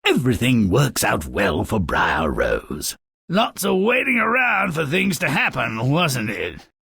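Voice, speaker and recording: An actor playing Grimm, a cranky, sardonic gremlin-like dwarf who narrates fairy tales mockingly in a video game, with a gravelly, raspy voice and theatrical delivery. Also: male voice, mid-range voice, huge pitch swings, unhurried, dry recording, studio quality, exaggerated voice. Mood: derisive. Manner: sneering, mock-dramatic, swooping from mutters to shouts.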